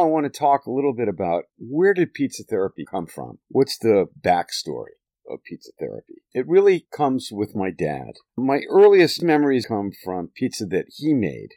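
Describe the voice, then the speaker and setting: A man from the United States telling nostalgic family stories in a fond, lively way, chuckling now and then. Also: fairly low pitch, no echo. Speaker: storyteller. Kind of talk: nostalgic personal narration.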